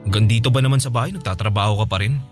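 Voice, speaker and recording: A man's voice: fairly low pitch, rapid-fire, over music, close to an everyday voice. A man playing a devoted, playful and somewhat needy young boyfriend in colloquial, naturalistic radio drama dialogue. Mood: sulky, pleading. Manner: expressive, colloquial.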